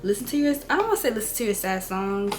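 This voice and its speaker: Hoarse young woman giving chatty advice. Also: medium pitch, big pitch swings, fast talker, slight background noise. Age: 20s. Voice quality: raspy, rough. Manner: chatty, direct, addresses viewers as y'all and girl. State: hoarse from a cold. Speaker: beauty and lifestyle vlogger. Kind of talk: casual girl-talk advice.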